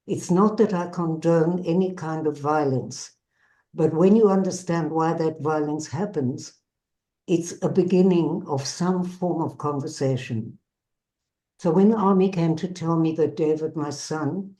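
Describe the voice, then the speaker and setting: Measured older woman, South African accent. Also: low voice, warm tone, low-quality recording. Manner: earnest, measured, reflective. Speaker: bereaved mother and peace activist speaker. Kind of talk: personal testimony to an online audience.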